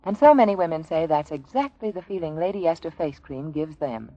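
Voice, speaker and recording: Mellow, lilting woman radio advertiser. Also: deep voice, expressive, a little fast. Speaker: advertisement announcer. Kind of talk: 1940s radio commercial, scripted pitch. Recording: dull, dry 1940s radio broadcast.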